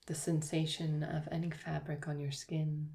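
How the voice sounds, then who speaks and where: A woman yoga teacher guiding a yoga nidra relaxation, speaking slowly and softly with long pauses. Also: deep voice, flat delivery, vocal fry. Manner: slow, soft, soothing guidance with long pauses.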